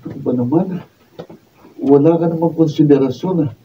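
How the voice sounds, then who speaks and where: A man's voice: fairly high pitch, moderate pace, lightly acted. A man acting a patient but exasperated young boyfriend in a Filipino radio drama, protesting in a Filipino accent. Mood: weary, defensive. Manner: pleading, protesting, aggrieved. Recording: distant, dull, noisy behind-the-scenes room recording.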